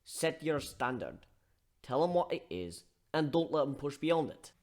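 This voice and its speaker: A man voicing a gruff, scolding barkeep who gives blunt tough-love lectures in folksy slang in a dramatic story reading. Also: on the high side, big pitch swings, a little slow. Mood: firm, advising.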